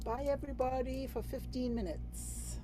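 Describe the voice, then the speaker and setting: A woman's voice: high voice, normal speed, noisy background. A woman from England hosting a video-call workshop, bubbly and chatty, with many fillers and restarts. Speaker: workshop host.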